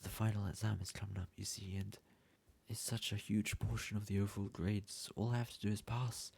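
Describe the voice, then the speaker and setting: A man acts out a hapless, lovesick young yandere student in an ASMR roleplay. The voice is low and gravelly with a husky edge. He stammers and wheedles, pleading straight to the listener. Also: a little mellow, exaggerated voice. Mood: nervous.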